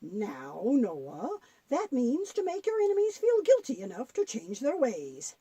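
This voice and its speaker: A woman's voice: high voice, huge pitch swings, unhurried, dark tone, slightly rough, dry recording, exaggerated voice. A woman playing a kindly, folksy grandmother who patiently tells Bible stories in a children's audio drama. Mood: gentle, earnest.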